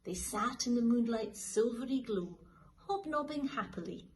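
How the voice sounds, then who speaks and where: A woman teacher reading a children's picture book aloud in a slow, lilting, expressive way. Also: a little high, a little hiss or hum. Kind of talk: storybook read-aloud for children.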